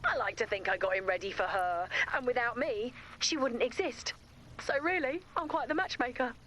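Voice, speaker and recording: A woman's voice: a little high, normal speed, a bit bright, a little hiss or hum, lightly acted, rough recording. A woman playing a self-assured, breezy young builder and proud matchmaker in a video game. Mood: proud, excited. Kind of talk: animated video game voice acting.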